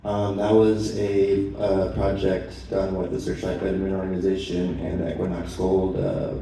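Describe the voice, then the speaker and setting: A man's voice: low-pitched, monotone, moderate pace, mellow. A man chairing a town board meeting, reading procedural items plainly with frequent fillers. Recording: echoey hall, far mic, faint noise.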